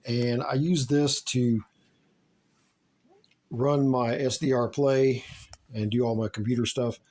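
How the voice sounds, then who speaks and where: A man's voice: medium pitch, steady tone, takes it slowly, a little mellow. A plain-spoken man from the United States giving a casual, unscripted hobbyist tour in a folksy, rambling way. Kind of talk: casual unscripted vlog narration.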